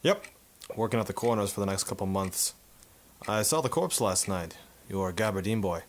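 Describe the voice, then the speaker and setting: A man's voice: on the low side, lively intonation, moderate pace, natural voice. A man playing a breezy, wisecracking 1950s photographer and war veteran in a noir radio drama, with a glib, slangy, familiar manner. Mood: easygoing.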